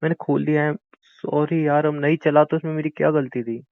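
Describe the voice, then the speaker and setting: Guy doing a hurt young bf begging n insisting, bit high pitched, dry slightly dull audio drama recording. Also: little pitch movement, fairly quick, creaky, dry recording. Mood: pleading, insistent. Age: young adult. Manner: pleading, insistent, bitter. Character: wounded, pleading young boyfriend with a failed business. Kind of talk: naturalistic audio drama of a couple's call.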